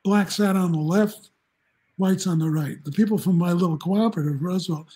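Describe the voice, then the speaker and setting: Husky-voiced man in his seventies. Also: high voice, fairly quick, dark tone. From New Jersey, United States. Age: 70s. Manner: rambling, anecdotal, chuckling, with frequent restarts. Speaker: guest, journalism professor. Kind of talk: casual interview storytelling. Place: home room, indoors. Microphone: video call.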